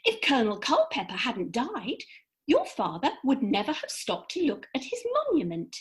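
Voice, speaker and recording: Woman doing a bossy fairy lady, really high voice, wildly sing-song, lecturing like in a comedy radio play. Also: huge pitch swings, normal speed. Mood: lofty, lecturing. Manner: emphatic, precise, lecturing. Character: brisk, bossy female fairy, lofty and theatrical. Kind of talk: theatrical comic radio play acting.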